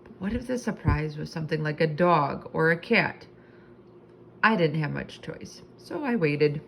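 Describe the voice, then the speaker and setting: A woman reading a children's book aloud in an animated, expressive storytelling manner. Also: low-pitched, measured pace, gravelly, a little hiss or hum, rough recording.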